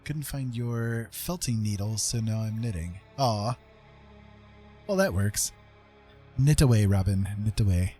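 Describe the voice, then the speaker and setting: A man's voice: lowish voice, a little slow, pauses often, warm tone, soft background music. A man chatting casually on a livestream as an artist, thinking aloud in a conversational way. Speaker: live streamer and digital artist.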